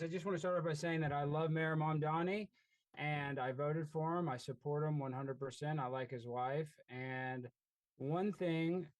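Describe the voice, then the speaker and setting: A man's voice: fairly high pitch, no room sound, poor audio. Breezy man joking through testimony. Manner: breezy, addresses listeners as guys. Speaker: public testimony speaker. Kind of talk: casual, jokey testimony.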